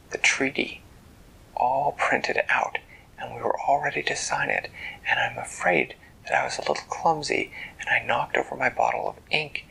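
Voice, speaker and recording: A storyteller doing a composed woman president, polite and plain, her lines breathed in a hoarse husky whisper. the ask comes out low and unhurried, with a crackle of fry under it. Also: bright, forceful tone, heavily acted. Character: female U.S. president, calm and polite.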